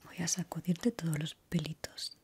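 A young woman whispering softly and breathily in a slow, soothing ASMR roleplay as a barber. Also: sits low, slightly rough. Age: young adult.

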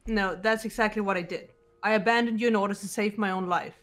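A woman voicing a serious, guarded teenage girl detective in a visual novel game, cool and measured. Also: medium pitch, steady tone, soft background music, natural voice. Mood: solemn, confessional.

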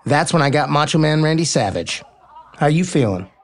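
An actor playing an easygoing, kind, joking baseball player in a naturalistic romantic comedy audio drama. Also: male voice, a little high, animated, moderate pace, natural voice. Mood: gentle, upbeat. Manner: casual, joking, frank.

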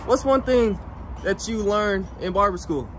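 A man's voice: sits high, a little slow, noisy background. A young man filming a casual vlog at a barber school and a gym, teasing and laughing as he asks classmates questions on a handheld phone. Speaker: vlogger and barber student. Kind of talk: casual joking vlog chat. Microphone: handheld phone camera.

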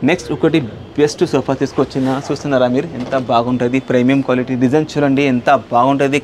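A man in his thirties with a Hyderabad Telugu accent gives an unscripted furniture sales pitch, insistent and repetitive, peppered with English words. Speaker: furniture shop owner and salesman. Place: large indoor furniture showroom. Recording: clear background room noise.

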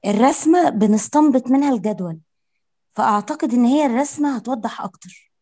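A woman's voice: a little high, expressive, quick pace, dark tone. A woman with an Egyptian accent, a student on a video call arguing for the diagram method. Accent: Egyptian Arabic.

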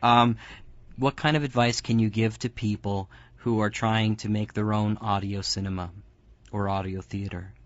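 A man's voice: lowish voice, steady tone, moderate pace, no echo. A man hosting a casual radio interview show, speaking as the interviewer. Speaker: host, interviewer. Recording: dry but dull, lo-fi.

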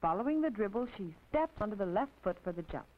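A woman's voice: medium pitch, sing-song. Tinny 1950s film narration, American woman. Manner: precise, didactic, measured phrasing. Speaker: narrator and physical education instructor. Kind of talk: scripted instructional film narration. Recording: tinny, band-limited 1950s film soundtrack.

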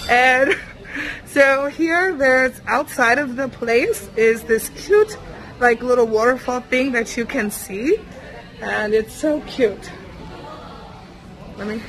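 A young woman vlogging as she walks through a buffet restaurant, talking in a chatty, rambling way with many fillers, holding the camera at arm's length. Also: a little high. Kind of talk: casual vlog walkthrough. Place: busy indoor buffet restaurant. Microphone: handheld camera at arm's length. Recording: noisy restaurant ambience.

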